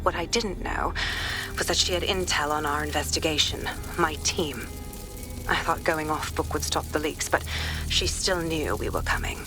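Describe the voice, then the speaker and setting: An actress playing a hardened, bitter British police detective in a video game, terse and determined. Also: female voice, low-pitched, normal speed, over music, lightly acted, rough recording. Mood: bitter resolve.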